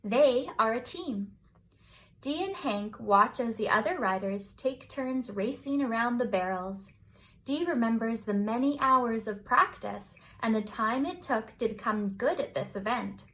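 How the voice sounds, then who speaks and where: A woman reading a children's picture book aloud as scripted bedtime narration, with expressive, deliberate phrasing. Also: on the high side, low-quality recording. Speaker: children's storybook reader.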